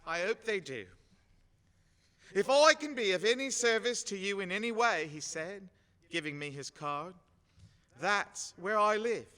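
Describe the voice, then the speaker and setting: Radio play dad played by a man, grieving yet gentle, bright earnest delivery, long reverb. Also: sits high, animated, exaggerated voice. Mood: earnest, halting. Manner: tender, halting, earnest. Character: gentle, grieving, loving working-class father.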